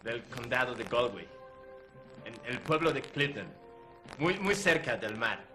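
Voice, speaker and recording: A man playing a proud Irish deserter captain, recounting his past in a measured, earnest way. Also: mid-range voice, lively intonation, bright, forceful tone, poor audio. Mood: solemn. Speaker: guest in character. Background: music underneath.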